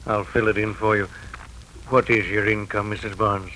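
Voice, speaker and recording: An actor playing an unhurried, formal judge with a polite, methodical manner in a 1940s radio drama. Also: male voice, lowish voice, flat delivery, pushed and bright. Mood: polite, businesslike. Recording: slightly noisy 1940s radio recording.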